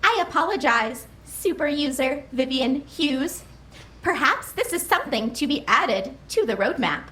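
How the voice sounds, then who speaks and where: A high, bright, sing-song computer assistant played by a woman, slow and deliberate, gliding up and down as it politely serves its users. Soft music runs underneath. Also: very animated, exaggerated voice, rough recording. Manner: chirpy, formal and deferential. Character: eager computer system, servile female AI.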